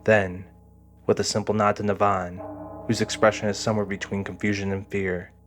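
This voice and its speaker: A man's voice: fairly low pitch, flat delivery, normal speed, soft background music. A man reading dramatic scripted narration for an audio drama.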